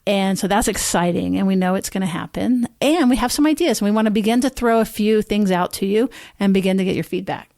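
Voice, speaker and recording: Woman, mid-pitch, dry close mic, reflective and sincere podcast co-host. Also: fast, gravelly, hardly any pauses, a little mellow, no room sound. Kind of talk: casual conversational podcast chat.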